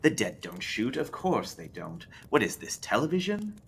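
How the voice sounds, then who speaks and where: Creepy thin stranger for horror audiobook, played by a male reader: mocking, probing, swooping upward in pitch, over clear background noise. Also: huge pitch swings, moderate pace. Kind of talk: audiobook-style character voice in a horror story.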